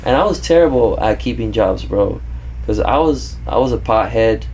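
A man in his thirties who grew up in Kentucky, hosting a casual podcast interview and talking in a relaxed way, often saying "bro", into a studio boom mic. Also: moderate pace, a little hiss or hum, poor audio. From grew up in Kentucky, born in Cuba. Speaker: podcast host and tattoo artist. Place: indoor studio with leather couch. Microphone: studio mic on boom arm.

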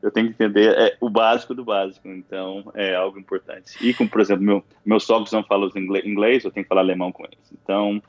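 A man's voice: on the low side, level tone, quick pace, few pauses, no room sound, dull audio. A man with a Brazilian Portuguese accent, an interview guest talking casually, rambling with restarts and fillers. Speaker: interview guest, university alumnus.

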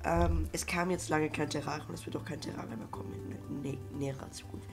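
A man's voice: on the high side, steady tone, fairly quick, vocal fry, over music. A young man with a cold, rambling in casual let's-play commentary with many fillers and restarts. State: has a cold. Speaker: gaming YouTuber.

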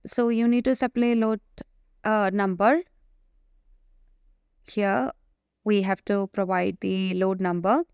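A woman presenting a software tutorial, explaining step by step in a halting, improvised way over a screen recording. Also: mid-range voice, fairly even intonation, smooth, dry recording, muffled recording. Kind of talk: spoken walkthrough of a software demo.

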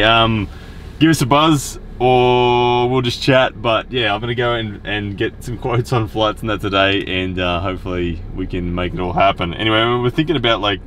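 Low-voiced Australian man vlogging in a car. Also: a bit bright. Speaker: vlogger and show host. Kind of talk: casual vlog chat. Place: inside a car. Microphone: in-car camera. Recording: clear car and road noise.

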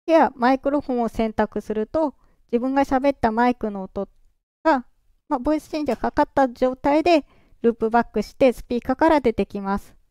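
A presenter walking through software setup step by step in a calm, explaining way, their voice run through a pitch-raising voice changer. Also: a little fast, clear, resonant tone, no room sound, studio quality. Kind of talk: screen-recorded software walkthrough.

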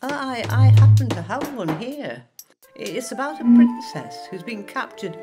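A woman voicing a bossy, eager young female friend who runs the play, speaking loudly. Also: fairly high pitch, lively intonation, a little slow, over music, pitched above the performer's own voice.

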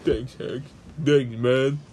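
A gruff, brooding bomb-headed creature acted out by a man in an amateur comic dub. He speaks slowly and bluntly, and his pitch drops below the performer's usual. There is some noise in the recording. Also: steady tone, a little mellow, lightly acted. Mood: sullen, remorseful. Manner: blunt, says "man" often.

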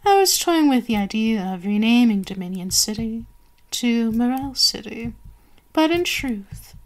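A woman's voice: fairly high pitch, expressive, a little slow. A woman voicing a haughty, cruel and seductive vampire queen in an ASMR roleplay, savoring her commands and gloating. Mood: proud, gloating.

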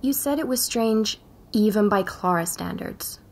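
A woman's voice: medium pitch, expressive, vocal fry, husky, full character voice. An actress playing a curious young woman journalist with a Spanish accent who asks probing questions. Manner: probing questions, brisk narration. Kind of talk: audio drama acting.

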